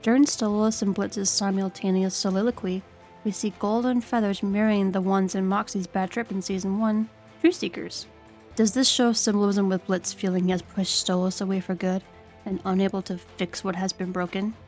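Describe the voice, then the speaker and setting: A woman with a medium-pitched voice reads scripted fan commentary as a recap co-host. Quiet music plays behind her. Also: flat delivery, moderate pace.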